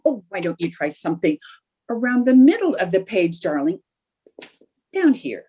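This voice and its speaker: A woman's voice: medium pitch, very animated, normal speed, dark tone, a little hiss or hum, lightly acted. A woman playing a scheming, stubborn, stagestruck housewife in old-time radio comedy, coaxing and indignant in a theatrical way. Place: remote video-call production.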